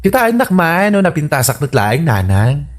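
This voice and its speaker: A whining, playful Filipino son with an Ilocano accent. The voice is fairly low for a woman and swings in lively fashion, in radio comedy drama style. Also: normal speed.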